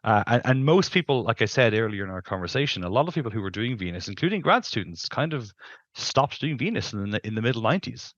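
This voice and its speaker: A man's voice: lowish voice, very animated, fast talker, fairly bright tone, dry recording, lo-fi sound. A middle-aged man, a scientist being interviewed, explains things informally and emphatically, rephrasing for precision on a headset mic. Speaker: planetary scientist, interview guest. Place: indoors on a video call.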